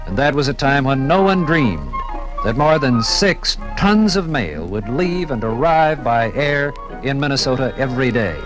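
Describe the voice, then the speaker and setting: An American male narrator reads a scripted radio documentary in 1950s style. His pitch is in the middle range. Some music is heard behind him. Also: big pitch swings, measured pace, rough recording.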